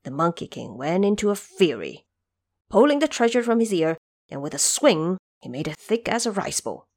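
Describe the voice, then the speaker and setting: A woman narrating a scripted audio drama tale, pausing for explanatory asides. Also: on the low side, big pitch swings, darkish tone, dry recording, studio quality.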